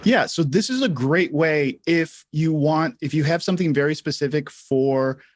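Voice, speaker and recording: A man co-hosting a livestreamed tutorial, talking informally and haltingly as he thinks aloud while explaining. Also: on the high side, no echo, studio quality. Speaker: livestream co-host and software instructor. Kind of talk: casual live tutorial talk.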